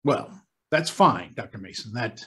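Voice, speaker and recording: An actor playing a courteous senior hospital doctor in an old-time radio drama, formal, halting and apologetic. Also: male voice, mid-range voice, animated, heavy background noise, close to an everyday voice. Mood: awkward, apologetic.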